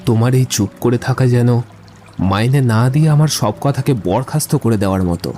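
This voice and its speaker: A man's voice: medium pitch, moderate pace, warm tone, soft background music, natural voice. A man playing an eloquent young man in love in a literary audio drama, speaking in lyrical, wordy speeches. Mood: tender, teasing.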